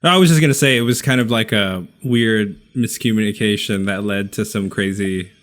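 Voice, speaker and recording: A man's voice: lowish voice, fairly quick, fairly bright tone. A man chatting casually as a podcast producer and sidekick. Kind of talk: casual chat.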